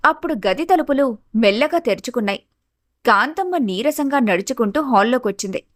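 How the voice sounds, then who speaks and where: Female storyteller, higher-pitched voice, scripted narration, very dry close sound. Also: expressive, a little fast, no echo.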